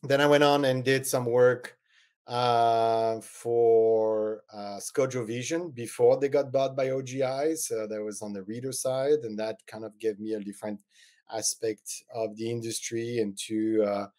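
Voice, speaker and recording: A man's voice: medium pitch, fairly even intonation, a little slow, a little mellow. French-accented middle-aged man, rambling guest. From France. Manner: rambling, hesitant, many ums. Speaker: guest, eyewear designer. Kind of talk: casual interview chat.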